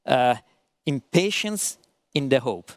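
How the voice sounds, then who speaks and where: A man moderating a panel in English, playful and animated, with halting phrasing. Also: mid-range voice. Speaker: moderator, professor.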